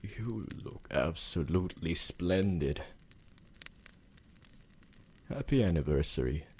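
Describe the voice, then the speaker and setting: Man voicing a very deep, gravelly vampire lover. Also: animated, a little mellow, exaggerated voice. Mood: adoring. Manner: slow, formal, tender, theatrical endearments. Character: devoted, possessive, romantic immortal male vampire lover. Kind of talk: ASMR roleplay monologue.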